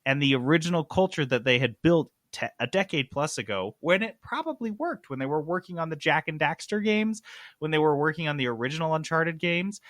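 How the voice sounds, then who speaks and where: A man's voice: on the high side, animated, fairly quick, fairly bright tone, no room sound, clean studio sound. A man hosting a gaming podcast, explaining news casually in an emphatic, sarcastic, sweary way. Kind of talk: casual comic explaining of game industry news.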